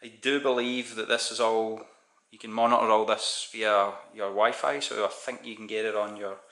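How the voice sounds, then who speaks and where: A man's voice: fairly low pitch, level tone, normal speed, gravelly, a bit bright. A Scottish man chatting casually as he unboxes parts on his kitchen counter, thinking aloud and correcting himself. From Scotland. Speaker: DIY hobbyist doing an unboxing. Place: home kitchen, indoors. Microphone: camera mic, speaker off-screen filming his hands. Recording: echoey kitchen with background noise.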